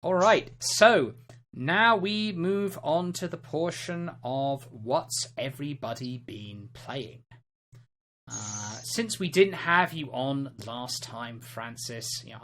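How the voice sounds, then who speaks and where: Mid-pitched podcast host, halting delivery. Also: animated, fairly bright tone, slight background noise. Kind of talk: casual group chat about video games.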